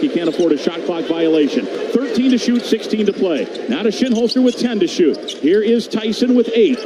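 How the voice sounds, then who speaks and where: A man giving live radio-style basketball play-by-play as a commentator, with noisy arena ambience behind him. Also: high voice. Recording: clear background noise.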